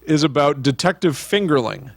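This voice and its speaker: A man's voice: fairly high pitch, lively intonation, normal speed, gravelly, slightly rough, no room sound. Man chatting casually on a dry mic. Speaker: podcast co-host. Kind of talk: casual chat, recounting film premises.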